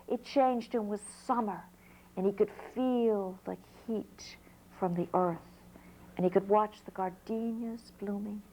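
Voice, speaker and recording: A woman storyteller narrating a folk tale in an unhurried way, pausing between short phrases. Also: medium pitch, mellow, slight background noise, poor audio.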